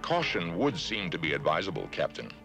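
A man playing a logical, composed alien starship officer in a cartoon, formal and deadpan. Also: low-pitched, fairly quick, creaky, music in the background, full character voice. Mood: composed, stern. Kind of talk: 1970s TV cartoon acting.

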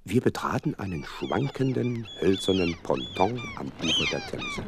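An actor playing a loyal, earnest detective's companion who narrates a radio play, with a husky voice and expressive delivery. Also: male voice, medium pitch, moderate pace, background noise. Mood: vivid narrating.

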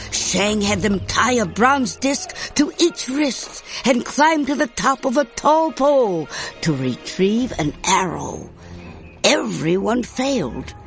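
A woman playing a fond grandmother, narrating a storybook in a measured, expressive way. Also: mid-range voice.

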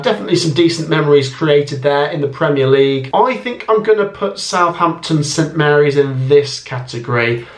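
A man's voice: fairly high pitch, reverberant. A young man in his twenties giving a casual football ranking commentary, chatty and thinking aloud with plenty of ums, filmed at a desk in a small room at home. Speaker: football YouTuber.

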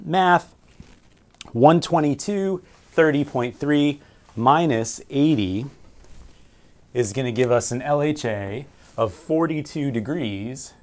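A man's voice: mid-range voice, darkish tone. A man in his forties teaching navigation at a whiteboard, explaining step by step and asking and answering his own questions. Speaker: navigation instructor. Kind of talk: whiteboard lesson. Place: small room with framed pictures and signal flags.

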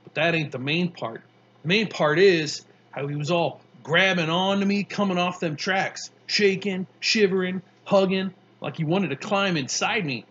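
A brash young street boy, put on by a man, tells his tale in a raised, slangy rush. The voice sits well above a grown man's, with a husky edge. The recording sounds a little dull and muffled. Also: sits high, moderate pace, voice pushed up. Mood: boastful. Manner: slangy, boastful, excitable storytelling.

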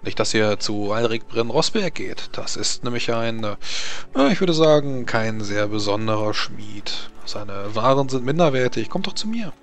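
A man playing a pushy provincial blacksmith touting his forge in halting sales patter, in a tabletop role-play. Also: mid-range voice, animated, fairly bright tone, faint music behind. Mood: eager, pushy.

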